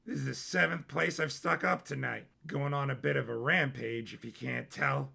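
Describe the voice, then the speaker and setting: A man's voice: mid-range voice, fairly even intonation, moderate pace, creaky, pushed and bright, slightly rough, exaggerated voice. A man voicing a brash, manic royal bastard turned robber in an audio story, boisterous, cocky and theatrical. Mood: cocky, taunting.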